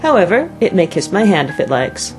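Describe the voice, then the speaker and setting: Storybook King, played by a female reader: self-important, fussy, firmly decided, animated intonation. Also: fairly low pitch, fairly quick, music in the background. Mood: haughty. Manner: decided, haughty.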